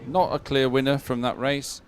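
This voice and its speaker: A man working as a live sports commentator and presenter, announcing results and schedules in an unscripted, halting way. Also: medium pitch, level tone. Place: open-air commentary position.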